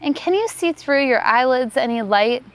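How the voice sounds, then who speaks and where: A woman yoga teacher leading a guided meditation, giving calm, unhurried, soothing instructions. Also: high-pitched.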